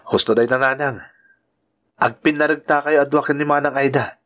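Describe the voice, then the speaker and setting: A man's voice: medium pitch, fairly even intonation, moderate pace, a bit bright, close to an everyday voice. A man with an Ilocano accent playing a kindly, earnest uncle and working man in an audio drama. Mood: gentle concern. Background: music.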